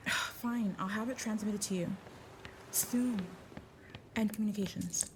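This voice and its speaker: A woman's voice: medium pitch, fairly even intonation, slow pace, background noise. A woman playing a guarded, bitter secret agent in an audio drama, terse and sardonic. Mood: hurried, secretive. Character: guarded, bitter, curt female covert agent.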